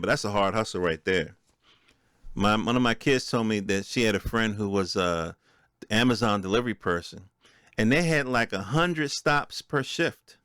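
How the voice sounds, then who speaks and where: A man's voice: medium pitch, fairly bright tone, smooth, no echo, clean studio sound. A middle-aged American man hosting a podcast solo, giving informal commentary with offhand asides and checking in with listeners. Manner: conversational, checks in with listeners using rhetorical questions. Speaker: podcast host.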